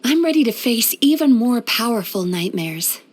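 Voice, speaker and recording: A woman playing a gentle, caring female psychologist in a video game, speaking in a soothing, thoughtful, measured and softly playful manner. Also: a little high, big pitch swings. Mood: grateful, earnest. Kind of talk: scripted video game character voice lines.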